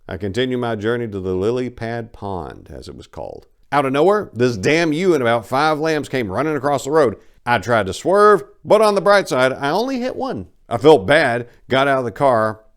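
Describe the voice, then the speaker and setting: Man, low voice, dramatic story narration, colloquial, folksy storytelling. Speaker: narrator of a dramatic book reading. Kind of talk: first-person dramatic audiobook narration.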